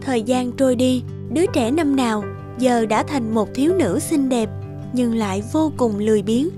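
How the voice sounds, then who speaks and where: A woman's voice: on the high side, normal speed. A woman reading scripted narration for a children's folk tale. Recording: children's music behind the voice.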